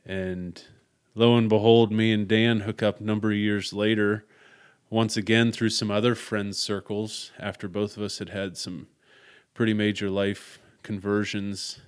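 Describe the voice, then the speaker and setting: A man, hosting a podcast interview and telling stories in a loud, outgoing way. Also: lowish voice, little pitch movement, unhurried, clean tone. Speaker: podcast host. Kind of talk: casual conversational interview.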